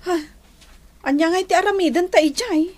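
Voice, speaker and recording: A woman's voice: extremely high pitch, brisk, darkish tone. A woman acting a humble, hesitant and fearful Filipino village neighbour in an Ilocano radio drama. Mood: reluctant.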